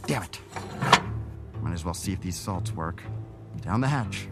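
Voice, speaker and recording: A man playing a composed, probing city councilman with hidden schemes, in a measured radio drama performance. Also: low voice, lively intonation, over loud music. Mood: grim, muttering.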